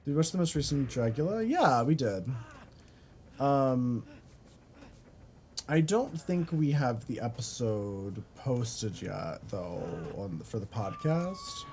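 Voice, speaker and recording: A man's voice: slow, slight background noise. A young man streaming a game, chatting casually with his viewers, swearing and reading chat aloud. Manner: chatty, swears, reads chat aloud, swings between flat mutters and high squeals.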